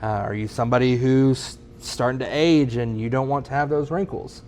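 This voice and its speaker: A man co-hosting a casual chat in a showroom, giving relaxed sales advice and filling his sentences with lots of "um" and "you know.". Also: medium pitch, moderate pace. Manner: relaxed, many ums and you-knows. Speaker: sauna store staff co-host.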